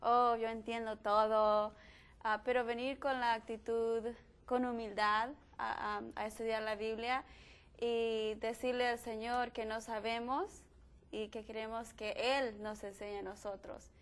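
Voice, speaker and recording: Earnest woman, slightly high. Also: little pitch movement, measured pace, smooth. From El Salvador. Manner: earnest, repeats words as she starts. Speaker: panelist. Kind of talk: panel discussion.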